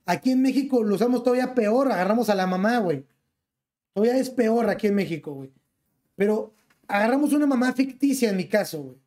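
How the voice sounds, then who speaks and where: A man's voice: high-pitched, a little mellow. A young man with a Mexican accent, streaming from his bedroom through a gaming headset and ranting as he reacts to clips, piling slang onto every phrase. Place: small bedroom.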